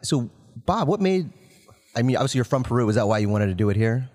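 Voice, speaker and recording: A man's voice: medium pitch, animated, quick pace, a little mellow, in a large hall. A man hosting a casual podcast on location inside a distillery, upbeat and loose, with many fillers and restarts. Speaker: podcast host. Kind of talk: casual chat. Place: distillery building interior.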